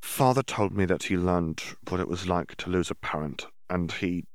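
A man voicing an earnest teenage wizard boy who explains things quickly and sometimes lowers his voice. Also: dry recording, studio quality. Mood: hushed. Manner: fast, hushed, hesitant at times.

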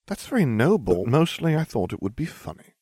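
A man's voice: mid-range voice, sing-song, brisk, a little mellow, slightly rough, dry recording, clean studio sound, voice pushed up. A man voicing a smug, theatrical, scheming schoolchild in an audiobook, glib and mock-grave.

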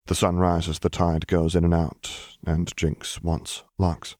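Male audiobook narrator, very deep register, dry sound, scripted fiction. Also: monotone, slow, darkish tone, dry recording.

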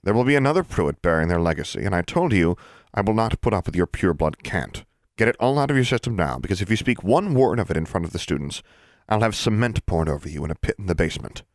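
A composed young witch, read by a man in his own low voice, cool and firm with a dry, sarcastic edge. The storybook narration sounds close and dry. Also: very animated, quick pace, dry recording.

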